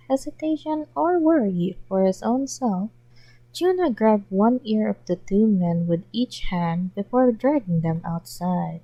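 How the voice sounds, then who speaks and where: A woman reading fan fiction aloud in an expressive storytelling style, on a muffled home recording. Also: on the low side, no echo.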